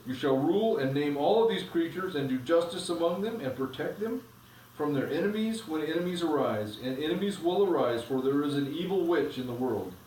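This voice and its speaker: A man reading aloud, voicing a majestic, kind male lion king in a grave, measured way. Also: fairly high pitch, animated, a little mellow, slight background noise, natural voice. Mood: solemn. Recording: echoey room, from a distance.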